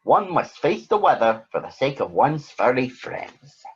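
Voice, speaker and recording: A man's voice: medium pitch, very animated, a little slow, slightly rough, muffled recording, exaggerated voice. A man voicing a pompous, jolly, eccentric detective in a theatrical way for a children's storybook. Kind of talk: children's storybook read-aloud.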